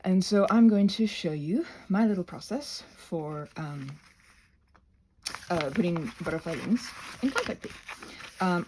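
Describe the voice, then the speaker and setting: Female crafter, casual and theatrical, lively sing-song swings, meandering asides; phone-recorded with slight background noise. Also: on the low side, low-quality recording. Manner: whimsical, theatrical, self-interrupting, talks to objects. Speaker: craft video creator demonstrating butterfly wing prep. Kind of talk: rambling casual craft tutorial with asides to self.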